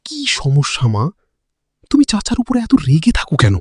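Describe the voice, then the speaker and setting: A man's voice: on the high side, huge pitch swings, normal speed, slightly rough, no room sound, heavily acted. A man playing a kind-hearted, earnest young son in a cartoon, with a questioning manner and a Bangladeshi accent. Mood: questioning, reproachful. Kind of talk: cartoon voice acting.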